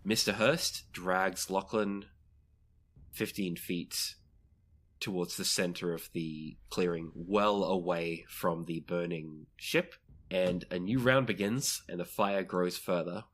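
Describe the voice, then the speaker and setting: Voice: sits low, slow. A game master casually narrating and running a tabletop roleplaying game, playful and free with swearing.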